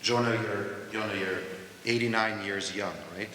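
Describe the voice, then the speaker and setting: A man's voice: on the low side, fairly even intonation, moderate pace, sharp tone, slight background noise. A man hosting a live on-stage interview, emphatic as he thanks his guest, recorded from far off in an echoey hall. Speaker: host of the event. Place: event hall with audience.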